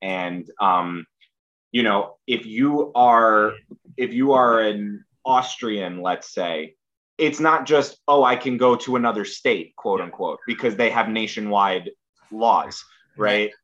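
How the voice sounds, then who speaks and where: A man who is a podcast guest, speaking in a halting, hedging way. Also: on the low side, a bit bright, highs cut off. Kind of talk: casual political chat.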